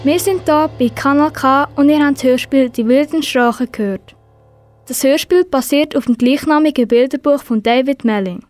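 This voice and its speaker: A woman's voice: sits high, normal speed, darkish tone, faint music behind. A teenage girl with a Swiss accent, presenting in a scripted radio announcement style. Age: teens.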